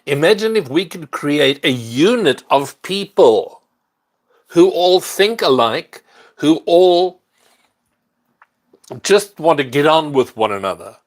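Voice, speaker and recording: Older South African-born man, livestream host, earnest and unhurried delivery. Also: fairly high pitch, very animated. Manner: unhurried, earnest, warm greetings to viewers. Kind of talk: casual livestream chat with viewers.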